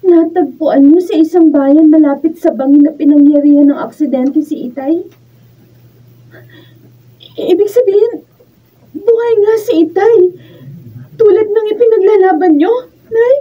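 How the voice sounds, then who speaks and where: Very high-pitched, wounded Filipino daughter. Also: female voice, measured pace, long pauses. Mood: shaken disbelief. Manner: tearful, accusing, emotionally heightened. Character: hurt, indignant grown daughter confronting her mother. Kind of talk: Filipino radio drama acting. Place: radio drama recording studio, filmed on camera. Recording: distant, dull lo-fi room pickup.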